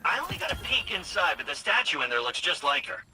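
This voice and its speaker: An actor playing an excitable, shifty informant in a video game, chatty, eager to impress and quick to defend himself. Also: male voice, sits high, a little hiss or hum, exaggerated voice.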